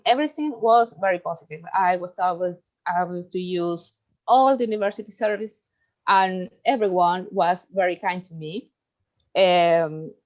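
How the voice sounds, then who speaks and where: Woman, Chilean accent, Spanish native, reads with pauses and ums, mid pitch. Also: darkish tone. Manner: careful, halting, with filler ums. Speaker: doctoral researcher presenting. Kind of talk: reading a prepared presentation.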